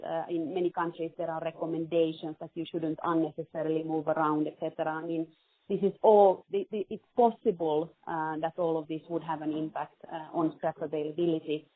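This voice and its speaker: Over a thin, dry telephone connection, a woman executive answers questions on a business call. Her voice sits a little low. She speaks in a careful, measured way, choosing her words as she goes. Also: little pitch movement, no room sound. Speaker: company CFO answering analysts on a conference call. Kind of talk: spontaneous business Q&A on an earnings call. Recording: narrowband phone conference line.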